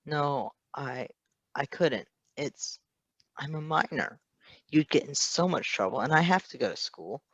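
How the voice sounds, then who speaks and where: A teenage boy voiced by a man from a superhero story, guarded and hesitant. He speaks haltingly and plays down his hardship, pitched a little above an adult man's talk on a close, slightly dull recording. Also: lively intonation, a bit bright, dry recording, exaggerated voice. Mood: anxious, hesitant.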